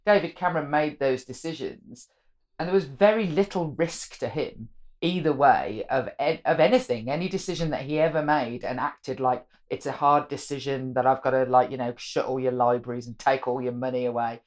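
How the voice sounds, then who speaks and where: A woman's voice: normal speed, a little mellow. A woman politician, talking frankly in a casual podcast chat. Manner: frank, swears casually, says she has a deep voice for a woman.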